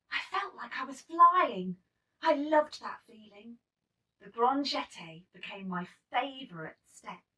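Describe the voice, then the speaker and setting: A woman reading a children's story aloud in an expressive, emphatic storytelling manner. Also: fairly high pitch, far from the mic, poor audio. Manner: animated, emphatic storytelling with acted-out dialogue. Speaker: storybook reader.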